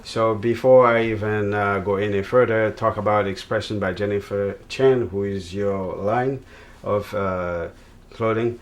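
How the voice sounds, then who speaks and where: Low-voiced male TV interview host. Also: steady tone, a little slow. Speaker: television program host. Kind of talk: TV interview hosting and promo announcements.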